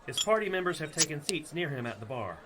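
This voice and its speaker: A man's voice: a little high, big pitch swings, fast, over a noisy crowd, poor audio. A man narrating a scripted fantasy adventure as its Dungeon Master.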